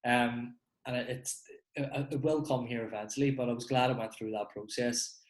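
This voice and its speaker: A man with a Northern Irish accent hosting a podcast interview in a relaxed, conversational way. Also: mid-range voice, normal speed. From Northern Ireland. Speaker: podcast host. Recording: echoey room.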